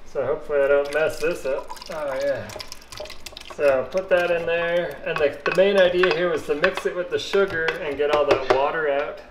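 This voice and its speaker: A man's voice: fairly high pitch, normal speed. A middle-aged man casually talking through a cooking demo in his home kitchen, explaining each step as he works. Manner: relaxed, talks through each step while working. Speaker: home cook vlogger. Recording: kitchen clatter and dish noise behind.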